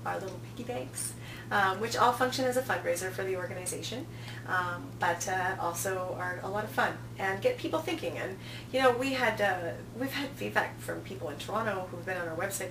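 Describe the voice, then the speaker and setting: A woman in her thirties speaking casually as an interview guest, telling anecdotes and acting out quoted remarks. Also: mid-range voice. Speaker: festival programming director, interview guest. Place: small office, indoors. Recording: roomy, distant mic, noticeable hum.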